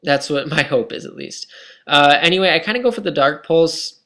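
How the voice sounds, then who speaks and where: A man's voice: fairly high pitch, lively intonation, normal speed, bright, forceful tone. Young man, scratchy tired voice, casual game commentary, rambling, self-critical, lots of ums. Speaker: gaming commentator.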